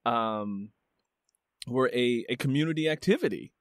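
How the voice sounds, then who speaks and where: A man's voice: medium pitch, lively intonation, unhurried, no room sound. A man hosting a podcast interview, musing reflectively and leaning on "you know" as he talks. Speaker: podcast host. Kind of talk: casual interview talk.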